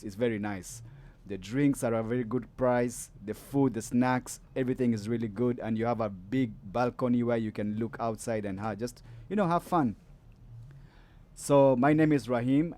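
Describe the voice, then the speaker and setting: Mellow-voiced man hosting a podcast. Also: medium pitch. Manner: relaxed, rambling with many fillers and restarts. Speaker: podcast host. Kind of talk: casual interview chat.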